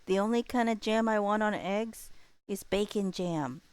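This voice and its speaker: A woman livestreamer chatting casually with her viewers in a playful, expressive way. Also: mid-range voice, moderate pace, smooth, no echo.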